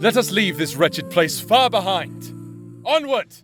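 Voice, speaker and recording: An actor playing a brooding, vengeful necromancer in an audio roleplay, speaking solemnly with theatrical pauses. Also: male voice, high voice, very animated, fairly bright tone, full character voice. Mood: determined, wistful. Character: brooding, vengeful male necromancer, grieving and lovelorn. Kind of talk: anime-style audio roleplay acting, dramatic monologue. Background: music.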